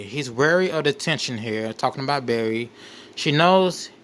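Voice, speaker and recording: Male host, mid-pitched, reads documents aloud and comments freely, halting and repetitive, faintly noisy recording. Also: a bit bright. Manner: halting, repeats and rephrases phrases. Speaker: late night show host.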